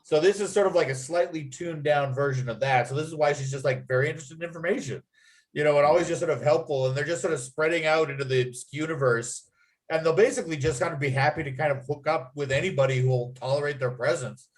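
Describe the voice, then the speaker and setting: A man's voice: fairly high pitch, fast, rough recording. A man chatting casually in a group, rambling and enthusiastic, often stopping to restart his thoughts. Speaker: writer leading a table-read discussion.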